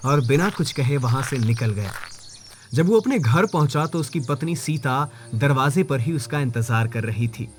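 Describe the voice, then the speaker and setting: A man's voice: mid-range voice, slight background noise. A man narrating a scripted moral story as a dramatic storyteller.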